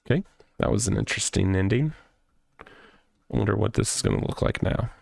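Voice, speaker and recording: Low-pitched, gravelly man playing games. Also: brisk, a little mellow, no room sound. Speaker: let's play host. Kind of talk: casual gaming commentary, reading game text aloud. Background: game music.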